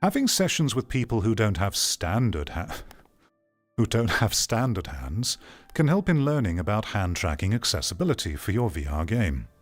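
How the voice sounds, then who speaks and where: A man with a fairly low voice delivers opinionated live commentary in the manner of a streamer on a rant. He is blunt and outspoken, and music plays behind his voice. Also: very animated, normal speed. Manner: blunt, ranting, swearing.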